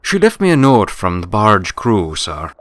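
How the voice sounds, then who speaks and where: A man's voice: fairly low pitch, huge pitch swings, measured pace, a bit bright, no room sound, full character voice. A man voicing an elderly earth pony manservant in a storybook, deferential, folksy and plain-spoken. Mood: matter-of-fact. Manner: plain-spoken, polite, understated.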